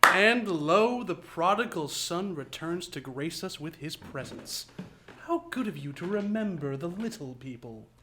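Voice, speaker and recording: A bitter, wildly theatrical failed actor. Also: male voice, sits high, lively intonation, unhurried, heavy background noise. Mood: sardonic. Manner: grandiloquent, sarcastic, declamatory. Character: bitter, deranged washed-up male actor, theatrical and vengeful. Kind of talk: live radio drama acting. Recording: roomy echo of a live studio stage.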